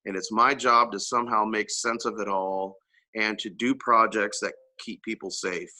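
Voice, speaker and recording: A man's voice: lowish voice, fairly even intonation, moderate pace, sharp tone. A man giving earnest, heartfelt panel remarks about his public service work over a video call.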